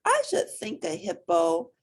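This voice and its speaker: A tall, matter-of-fact giraffe from a picture book, played by a woman. It speaks plainly and obligingly. The pitch moves about with great animation. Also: huge pitch swings, unhurried, exaggerated voice.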